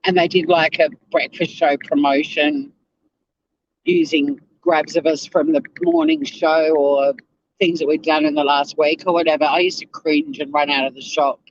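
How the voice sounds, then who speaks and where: An Australian man hosting a casual livestream, chatty and animated, swearing freely, with a slightly whiny voice. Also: fairly high pitch, normal speed, lo-fi sound, slight background noise. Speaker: livestream host. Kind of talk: casual livestream chat to viewers.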